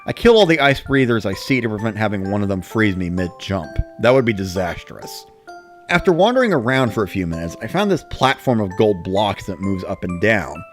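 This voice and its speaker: A man's voice: fairly low pitch, very animated, fairly quick, soft background music. A man giving a scripted first-person narration, like a diary of playing a video game. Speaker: narrator of a dramatic reading.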